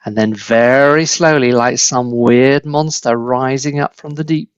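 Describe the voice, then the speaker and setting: A man narrating a children's book as an expressive storyteller, in a slightly dull, close recording. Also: mid-range voice, unhurried, smooth, no room sound.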